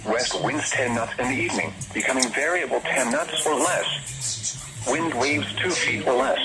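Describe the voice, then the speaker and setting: A man's synthetic-sounding voice reading an automated weather forecast in a formal, measured manner over a radio transmission. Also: mid-range voice, over a noisy crowd. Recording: very noisy weather radio broadcast.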